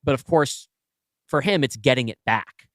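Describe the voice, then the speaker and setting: A man co-hosting a podcast, brainstorming casually and thinking aloud in halting, self-correcting bursts. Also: medium pitch, fairly bright tone, no echo, clean studio sound. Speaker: podcast co-host and writer.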